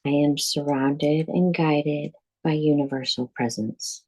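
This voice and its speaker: A woman leads a guided meditation in a soft-edged, husky voice with a dark, relaxed tone. Her delivery is very slow, calm and deliberate. She gives instructions in short, measured phrases, leaving space between them. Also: sits low, rough recording. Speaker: yoga nidra teacher.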